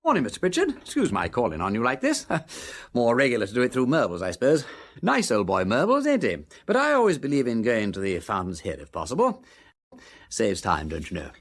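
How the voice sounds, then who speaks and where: An actor playing an aristocratic English gentleman detective in a radio drama, with a husky voice, an affected chatty drawl and an upper-class English accent. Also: mid-range voice, wildly swooping pitch, brisk. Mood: breezy, affable. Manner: affected silly-ass drawl with tag questions. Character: aristocratic gentleman sleuth, breezy, chatty, shrewd underneath.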